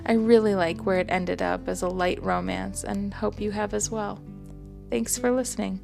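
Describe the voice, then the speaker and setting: A woman reading her own first-person story aloud in a gentle, soft-spoken way. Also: mid-range voice, fairly even intonation, moderate pace, gravelly, over music. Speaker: author and narrator.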